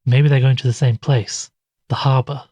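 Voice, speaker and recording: An actor playing an anxious, weary podcaster in an audio drama, with a brooding, reflective manner. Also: male voice, medium pitch, flat delivery, moderate pace, warm tone, no room sound, lightly acted. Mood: urgent, puzzling out. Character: anxious, weary male podcaster drawn into occult mystery. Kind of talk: audio drama narration and dialogue.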